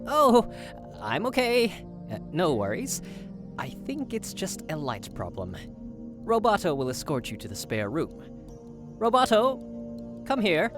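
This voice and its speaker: A woman's voice: on the low side, huge pitch swings, unhurried, over music. A woman voicing a self-assured, genial male inventor in a visual novel game. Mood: brushing off worry.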